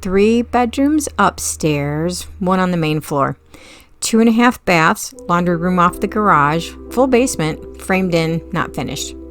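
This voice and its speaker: A woman's voice: fairly low pitch, expressive, unhurried, fairly bright tone, soft background music. A woman voicing an eager, teasing companion fascinated by the supernatural in an audiobook, earnest and casual.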